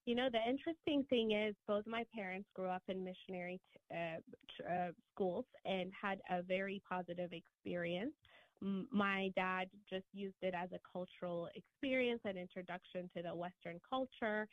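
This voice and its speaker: A woman from Ethiopia, a guest author on a radio talk show, answering earnestly and in a measured way with frequent restarts, heard over a remote line. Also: medium pitch, dry recording. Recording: tinny phone-line sound, dry.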